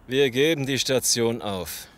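An actor playing a ruthless, domineering gang leader in a German audio drama, commanding and condescending with menace. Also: male voice, mid-range voice, unhurried, a little hiss or hum, natural voice. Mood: cold, commanding.

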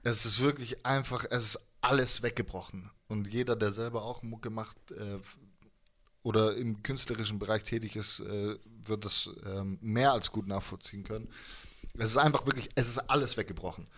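Low-voiced man, hesitant and informal. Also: steady tone, moderate pace, pushed and bright. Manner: hesitant, informal slangy talk. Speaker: musician being interviewed. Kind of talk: casual interview chat. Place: living room with instruments, indoors. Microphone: handheld microphone held close.

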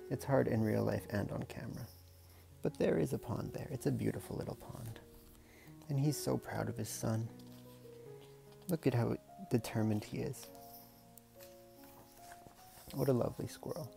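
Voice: mid-range voice, moderate pace, gravelly, long pauses, warm tone, soft background music. A storyteller reading a picture book aloud in a casual, playful way, with chatty murmured asides.

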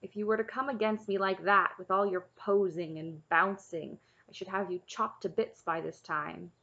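A woman narrator puts on a practical old smith from a storybook. The delivery is blunt and instructive, with a bright, forceful edge. The recording is a little dull. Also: mid-range voice, fairly even intonation, normal speed, vocal fry. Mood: gruff, dismissive. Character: gruff, stout old smith, practical and critical mentor.

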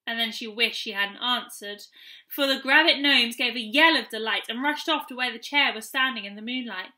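High, bright-voiced woman reading a storybook. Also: normal speed. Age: young adult. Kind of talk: expressive children's storybook reading. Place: small room at home, indoors.

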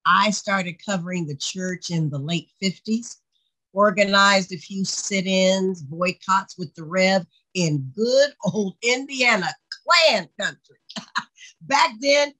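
A woman plays an older, seasoned journalist in a stage drama. She speaks slowly and drily, guarded and wry, over a video call. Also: medium pitch, lively intonation, bright, forceful tone, breathy edge, full character voice, poor audio. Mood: cool, wry. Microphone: video call microphone.

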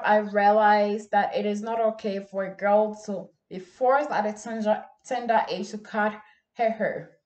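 A teenage girl from Ghana, whose first language is Ga, gives an earnest and measured school speech in a plain indoor room, recorded by a camera set some distance away. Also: mid-range voice. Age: teens. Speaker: student speech contestant. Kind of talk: memorized bilingual speech. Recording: echoey room.

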